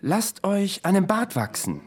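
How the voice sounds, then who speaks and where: An actor playing a scheming, insistent confidant in a period radio drama, speaking in archaic formal German. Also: male voice, high voice, huge pitch swings, a little slow, a little mellow, heavily acted. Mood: sly, coaxing. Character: scheming male confidant urging and scolding a poet friend.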